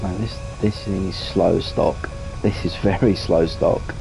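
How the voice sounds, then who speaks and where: A man's voice: low-pitched, steady tone, unhurried, creaky, music in the background, low-quality recording. A British man hosting casual flight game commentary in a rambling, self-correcting way. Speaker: gaming channel host.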